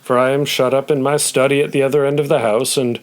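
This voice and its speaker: A man plays an old gentleman of a period audio drama, a grandfather with a gruff edge and a kind heart. His speech is formal and measured, at an ordinary male pitch. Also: little pitch movement, natural voice. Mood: kindly, formal. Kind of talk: audio play acting.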